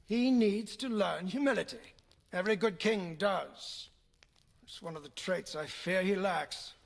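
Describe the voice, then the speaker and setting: An actor playing a stern but affectionate older royal mentor in an audio adventure game, husky and gravelly, with firm instruction. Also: male voice, high-pitched, measured pace. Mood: grave, tender. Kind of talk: video game voice acting.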